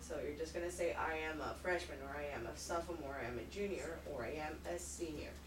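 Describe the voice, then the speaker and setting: A woman teaching an English conversation class, talking slowly and clearly. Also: low voice, noisy background. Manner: slow, clear teacher talk. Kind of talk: classroom instruction. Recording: echoey classroom from far off.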